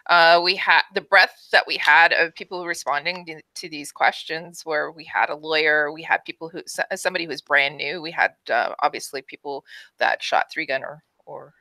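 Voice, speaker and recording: A woman's voice: low-pitched, normal speed, sharp tone, no room sound, low-quality recording. A woman co-hosting a casual podcast chat, chatty and upbeat. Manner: chatty, upbeat, trailing restarts. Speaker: podcast co-host.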